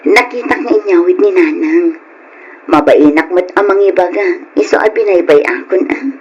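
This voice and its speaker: A woman's voice: lowish voice, moderate pace, husky, lo-fi sound. An actress playing a modest young wife and mother in a radio drama, pleading and hesitant, with a Filipino accent. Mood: pleading, coaxing. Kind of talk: Ilocano radio drama acting.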